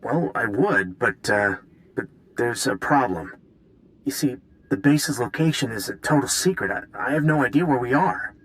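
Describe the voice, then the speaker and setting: A man's voice: medium pitch, animated, normal speed, a bit bright, natural voice, low-quality recording. Game role, male actor: anxious male weapons designer, mid-range, stammering, remorseful, eager to help. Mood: nervous, earnest. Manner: hesitant, stammering, apologetic. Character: nervous, guilt-ridden male military weapons designer. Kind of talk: video game cutscene acting.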